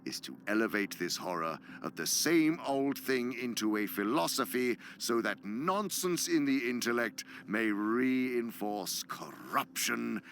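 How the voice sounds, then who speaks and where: An actor playing a cunning, pompous senior demon in an audio drama, lecturing eloquently and with disdain. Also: male voice, mid-range voice, lively intonation, unhurried, hardly any pauses, fairly bright tone, a little hiss or hum. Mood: gloating lecture. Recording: music underneath.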